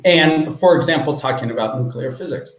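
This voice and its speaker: A man hosting a casual interview as an English teacher, encouraging and quick to laugh. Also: on the high side, echoey room.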